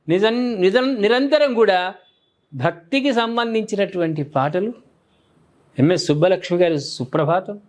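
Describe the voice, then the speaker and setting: A man with a Telangana Telugu accent presenting opinionated news commentary to viewers in a colloquial, emphatic way, often putting questions to them. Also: sits high.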